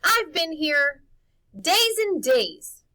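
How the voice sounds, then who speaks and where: A woman's voice: high voice, big pitch swings, extremely slow, bright, forceful tone, dry recording, studio quality, pitched above the performer's own voice, full character voice. A woman voicing a bold, curious young boy in a storybook, with insistent, challenging questions.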